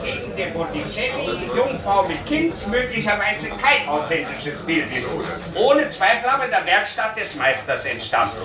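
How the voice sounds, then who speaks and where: Radio drama: an officious male guide, high and swooping, declaiming recited facts. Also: big pitch swings, normal speed. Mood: officious, jovial. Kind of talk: radio play acting, guided tour patter. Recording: echoey, distant, loud crowd behind.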